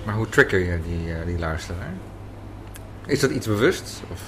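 A man's voice: low-pitched, animated, moderate pace. A man interviewing someone in a conversational style. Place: café interview. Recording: some background noise and ambience.

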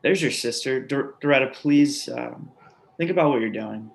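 A man's voice: mid-range voice, normal speed, vocal fry, close to an everyday voice. A man in a play reading, playing an anxious, needy man with a stammering, pleading manner. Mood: nervous pleading. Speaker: actor in a staged play reading. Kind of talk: readers theatre acting.

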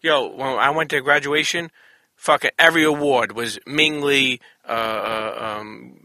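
A man's voice: mid-range voice, steady tone, measured pace, bright, forceful tone, no room sound. A man hosting a podcast, chatting casually in a blunt, profane manner. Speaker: podcast host. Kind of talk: casual chat.

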